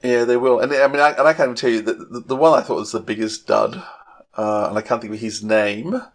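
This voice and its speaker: A man's voice: medium pitch, steady tone, brisk. A middle-aged man co-hosting a podcast in casual fan chat, opinionated and often hesitating as he thinks aloud. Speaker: podcast co-host.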